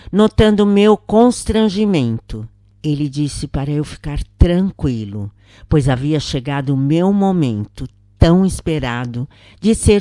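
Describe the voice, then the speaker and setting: A woman with a Brazilian accent narrating a first-person spiritual story in a solemn, measured storytelling manner. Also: low-pitched, warm tone, no room sound, clean studio sound.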